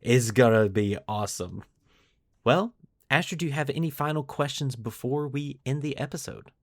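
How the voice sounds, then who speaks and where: A man's voice: mid-range voice, normal speed, no room sound, clean studio sound. A man hosting a podcast, moving between storytelling narration and casual discussion. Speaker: podcast host.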